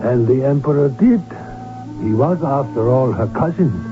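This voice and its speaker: An actor playing a grave physician who narrates a radio drama in a measured, formal, wry way. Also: male voice, mid-range voice, expressive, natural voice. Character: archduke's grave physician friend, narrating the story. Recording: narrow-band old radio drama, music.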